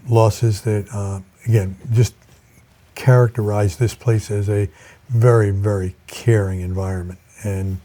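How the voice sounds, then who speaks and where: An older man speaking as a college president in an interview, reflective and measured, with halting pauses. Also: fairly low pitch, dark tone.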